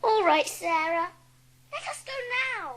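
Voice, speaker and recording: Spoilt, whiny small girl, extremely high voice, slow childish delivery, for storybook drama. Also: animated. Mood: plaintive, curious. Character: spoilt wailing four-year-old motherless girl. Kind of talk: dramatised audiobook acting.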